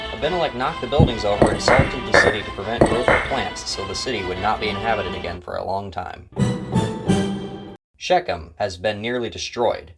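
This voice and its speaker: A man's voice: lowish voice, low-quality recording. A man narrating a scripted Bible story in a dramatic storytelling style. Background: loud instrumental music.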